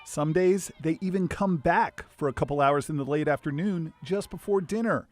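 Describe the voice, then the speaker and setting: A man's voice: on the high side, moderate pace, slight background noise. A man with an American accent narrating a scripted investigative news report.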